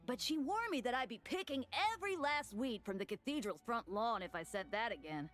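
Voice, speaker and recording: A woman's voice: fairly high pitch, expressive, brisk, a bit bright, faint music behind. A woman voicing a youthful, mischievous male deacon in a video game, with a formal, mock-pious earnestness over cheeky words. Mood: earnest, cheeky. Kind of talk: scripted video game dialogue.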